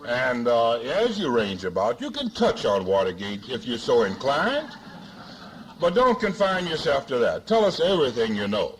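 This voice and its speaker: A man hosting a forum, introducing a speaker in a formal but jocular way with deliberate, halting phrasing, on an archival 1970s recording. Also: mid-range voice, huge pitch swings, noisy background. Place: live luncheon forum before an audience.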